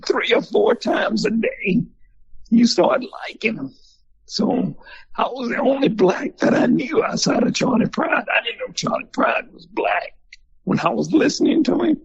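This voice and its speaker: Husky older man telling stories. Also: very high voice, dry recording, dull audio. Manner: folksy, animated storyteller. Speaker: museum founder and former country singer. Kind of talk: storytelling in an interview.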